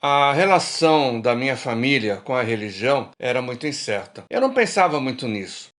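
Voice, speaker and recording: A man's voice: mid-range voice, sing-song, fairly quick, a bit bright. A man playing a cautious but teasing middle-aged old friend in a radio drama. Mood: confessional.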